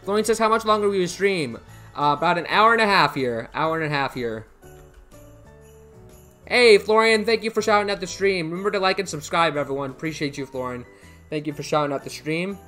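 A man's voice: sits high, lively intonation, fairly quick, pushed and bright, faint music behind. Chatty young American man streaming, upbeat. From United States. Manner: rambling, repeats himself, thanks viewers by name. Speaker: live streamer. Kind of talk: casual live-stream chat, reading chat aloud. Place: indoor room at a computer. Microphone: headset.

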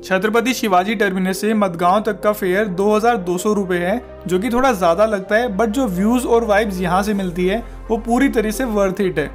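A man's voice: high voice, normal speed, hardly any pauses, music in the background. A man hosting a travel channel, reading a scripted voice-over in an upbeat, recommending tone.

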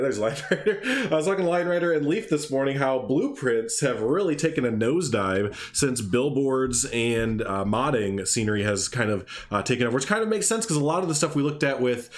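Stuffed-up nasal man streaming. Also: medium pitch. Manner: chatty, rambling with frequent fillers. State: congested, stuffed up. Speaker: streamer hosting a game showcase. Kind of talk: casual live-stream commentary.

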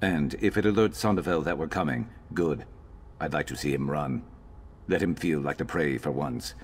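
Very deep, gruff lizard-man companion. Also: moderate pace, slight background noise. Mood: grim, determined. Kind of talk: video game character acting.

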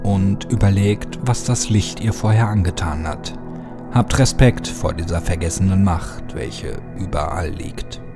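A man's voice: low voice, unhurried, gravelly. A man reading scripted horror audiobook narration as the storyteller. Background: music.

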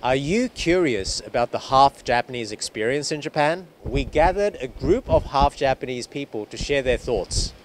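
A man in his thirties opens a street interview show with an upbeat, scripted address to the camera. He speaks in an even middle register, recorded outdoors on a city street. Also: mid-range voice, moderate pace, few pauses, a little hiss or hum. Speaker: host.

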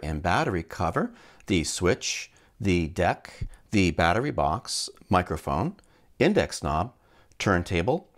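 A man with a very deep voice talks through a hands-on task as a video host. His pitch moves around a lot, giving the casual narration an animated swing. He takes his time and describes each step as he goes. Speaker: host of a vintage electronics channel.

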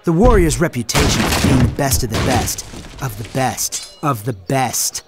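A man voicing an excitable, boastful panda kung fu fan in an animated game, swinging between mock-epic and flustered. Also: mid-range voice, moderate pace, loud music behind the voice, lightly acted. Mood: boastful, mock-heroic. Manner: self-aggrandizing, mock-epic one moment, flustered the next.

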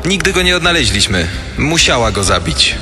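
Higher-voiced worried, pleading brother. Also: male voice, sing-song, normal speed, over music. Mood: urgent, pleading. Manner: urgent, pleading. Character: worried man pleading about his missing brother. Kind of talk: radio drama acting. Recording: long hall reverb.

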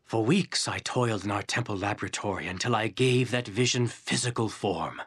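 An actor playing a devout, urgent techno-cultist scientist in a game, earnest and declamatory. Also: male voice, lowish voice, normal speed, noisy background, natural voice. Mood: zealous.

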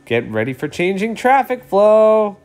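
This is a man reading as a storybook yellow traffic light, female, careful and a little weary of everyone. The warnings rise and fall in a lively way over faint music. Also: high-pitched, a little slow, pitched above the performer's own voice, full character voice. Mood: earnest, cautioning.

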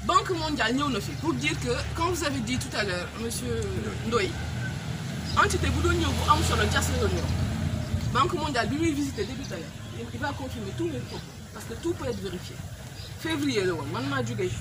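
Middle-aged Senegalese woman, company manager at a public meeting, animated and insistent; noisy outdoor field recording. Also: sits high, brisk. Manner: animated, switching between Wolof and French, gesturing with papers. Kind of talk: public address at a community meeting. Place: outdoors under a tree before a crowd. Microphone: far camera, no mic. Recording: loud outdoor noise, distant camera.

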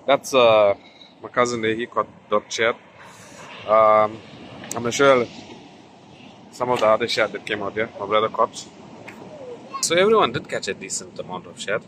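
A man's voice: on the low side, moderate pace, long pauses, rough recording. A middle-aged man with a South African accent, chatting casually and rambling as he films his fishing trip. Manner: relaxed, rambling, filler-heavy. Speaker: fishing vlogger. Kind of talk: casual vlog narration. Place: open beach by the surf. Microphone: handheld camera mic. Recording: windy beach with loud surf.

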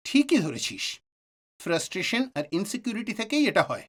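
A man's voice: high-pitched, huge pitch swings, a little fast, heavily acted. An actor playing a reassuring middle-aged male doctor and family friend in an audio drama, explaining with authority and some English words. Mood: grave, explanatory.

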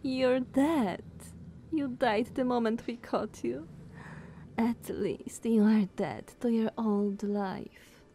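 A woman playing a dominant vampire sister in an ASMR roleplay, speaking softly and breathily with sweet condescension. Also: fairly high pitch, steady tone, slow, slight background noise. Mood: mocking, condescending. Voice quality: soft, breathy, often whispered.

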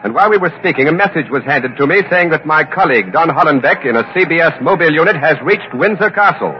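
American male field reporter, acted, formal and earnest, high-set voice, muffled old broadcast. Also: animated, fast, lightly acted. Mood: brisk. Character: earnest radio correspondent covering history live. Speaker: on-the-scene news reporter. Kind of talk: 1940s radio news reporting, dramatized.

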